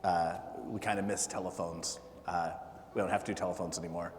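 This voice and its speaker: Low-voiced man talking in an echoey hall. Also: fairly even intonation. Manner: halting, many fillers and restarts. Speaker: political candidate. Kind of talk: town hall talk. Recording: echoey hall with faint noise.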